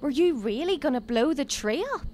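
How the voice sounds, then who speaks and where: Actress as exasperated, questioning young female companion, high and very animated, audio drama. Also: moderate pace, slight background noise. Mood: puzzled. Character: blunt, sceptical young companion of a time traveller.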